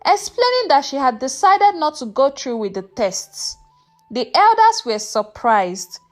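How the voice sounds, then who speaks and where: A woman reading a scripted folktale as a storyteller, in an expressive, dramatic way. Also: high voice.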